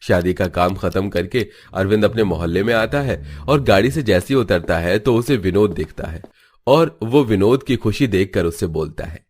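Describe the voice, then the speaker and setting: A man's voice: on the low side, normal speed, darkish tone, husky. A man reading scripted narration for a cartoon family story.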